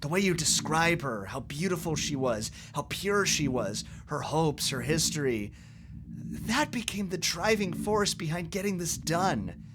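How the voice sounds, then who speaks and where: Man acting an excitable high-pitched eccentric scientist. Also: a little slow, noisy background. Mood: earnest, confiding. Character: eccentric lonely mad scientist, loyal friend. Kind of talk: amateur audio drama acting.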